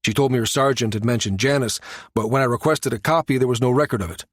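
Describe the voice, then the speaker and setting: Authoritative male security chief, read by a man, sci-fi audiobook, mid pitch, measured delivery, dry recording. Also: no echo, lightly acted. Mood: measured, conceding. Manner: measured, matter-of-fact, authoritative. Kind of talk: audiobook character dialogue.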